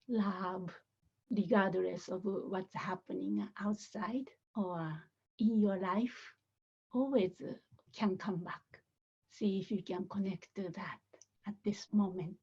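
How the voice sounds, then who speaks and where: A woman meditation teacher leading a guided meditation softly and gently, with many pauses and a breathy voice that often nears a whisper. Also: medium pitch, highs cut off.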